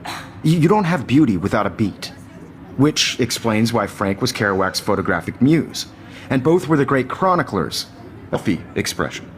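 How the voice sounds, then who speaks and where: A man's voice: mid-range voice, very animated, normal speed, slight background noise, lightly acted. A man playing a hip, self-assured photography teacher in a video game, lecturing in a glib, theatrical way. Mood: provocative, assured.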